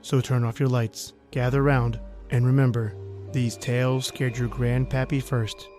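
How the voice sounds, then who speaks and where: A man hosting a podcast, reading a scripted, measured introduction to an old radio horror story. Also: mid-range voice, flat delivery, darkish tone, soft background music. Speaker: podcast host.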